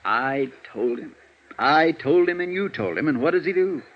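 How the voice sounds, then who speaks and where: An actor playing a weary, conscientious defense lawyer in old radio drama, earnest and downcast, on a dated recording. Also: male voice, on the high side, lively intonation, moderate pace. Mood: frustrated, earnest. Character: weary, conscientious male defense lawyer, troubled by his client. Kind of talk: 1950s radio drama dialogue. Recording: dull, faintly noisy old radio recording.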